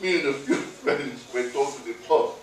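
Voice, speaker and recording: A man's voice: medium pitch, normal speed, very echoey, recorded from a distance, natural voice. An actor playing a man recalling his arrival in 1950s London, telling it theatrically with laughing asides. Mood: indignant. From Barbados. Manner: storytelling, animated, laughing asides. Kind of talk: theatrical monologue.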